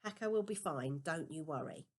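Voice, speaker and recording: A woman voicing a gentle but firm mother explaining things to her child in a storybook reading. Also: low-pitched, lively intonation, normal speed. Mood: patient, firm.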